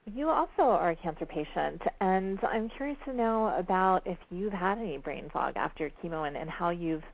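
A woman's voice: fairly low pitch, animated, moderate pace. A woman hosting a talk show, interviewing a guest with friendly, personal questions. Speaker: show host. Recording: tinny dry phone line.

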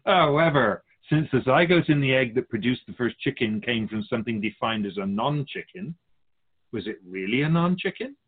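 Radio drama spirit guide, acted by a man: wry, didactic sage, husky and lively in pitch, narrow telephone-like sound. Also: moderate pace, lightly acted. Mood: wry, teasing. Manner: didactic, wry, formal phrasing. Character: Keeper of Souls, wise, wry otherworldly male spirit guide. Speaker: spirit teacher answering questions. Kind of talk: scripted radio drama dialogue, expository.

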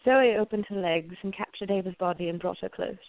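Medium-pitched woman narrator, dry sound. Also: a little fast, creaky, no room sound, narrow, tinny audio. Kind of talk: scripted storytelling narration of a radio play.

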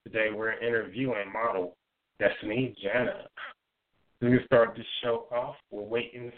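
A man hosting an internet radio show, asking a guest questions in a relaxed, conversational way. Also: lowish voice, normal speed, dry recording. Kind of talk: casual talk radio interview. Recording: dry, tinny phone-like sound.

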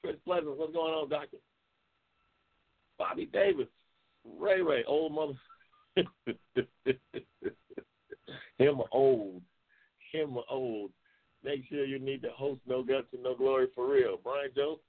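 A man's voice: medium pitch, steady tone, a little slow, long pauses. A man in his seventies hosting a casual live stream, joking and trash-talking with viewers as he reads their comments. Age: 70s. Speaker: host. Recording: tinny phone-like lo-fi sound.

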